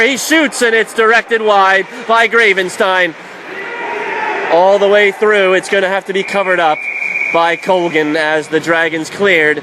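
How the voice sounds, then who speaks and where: High-pitched man calling hockey over loud noise. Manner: excitable on shots, clipped present-tense calls. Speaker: play-by-play hockey announcer. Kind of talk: live radio sports play-by-play commentary. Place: indoor ice hockey arena. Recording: loud rink noise behind the voice.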